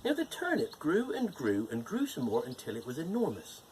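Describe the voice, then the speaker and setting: A cheerful, lively gnome storyteller. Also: male voice, on the high side, moderate pace, background noise. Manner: animated, playful storytelling with teasing jokes. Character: cheerful male gnome storyteller, friendly and playful. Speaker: storyteller host. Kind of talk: bedtime fairytale reading.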